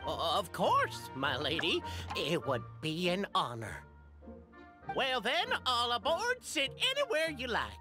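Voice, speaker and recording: Wildly animated little toy conductor. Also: male voice, high-pitched, unhurried, over music, heavily acted. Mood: honored, eager. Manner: courteous, formal, flustered. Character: fussy, deferential little male toy train conductor. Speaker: game character voice. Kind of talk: animated game voice acting.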